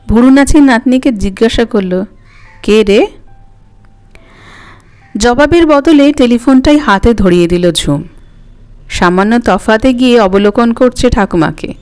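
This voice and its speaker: A woman's voice: on the high side, a little mellow. A woman narrating a story expressively as an audio story host, with music behind her.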